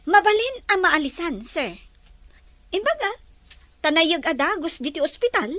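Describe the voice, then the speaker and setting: A dutiful young maid explains things politely to her employers, voiced by a woman. Her voice is high and bright, with a lively lilt and a dry, close sound. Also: unhurried, no echo, full character voice. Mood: explaining. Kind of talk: Ilocano audio drama acting.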